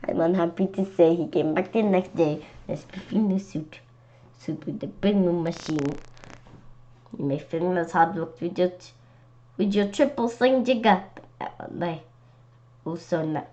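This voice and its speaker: A woman with a lowish voice reads a storybook aloud. She goes haltingly, tripping on words and repeating them, and drops in casual asides. The recording is slightly dull and lo-fi. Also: dark tone. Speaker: read-aloud presenter.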